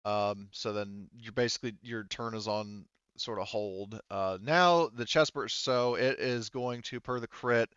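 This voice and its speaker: A man running a tabletop roleplaying game as game master, talking through rules and turns in a halting, deliberate, thinking-aloud way. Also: lowish voice, a bit bright, clean tone, no room sound, lo-fi sound. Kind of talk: improvised tabletop game narration and rules talk.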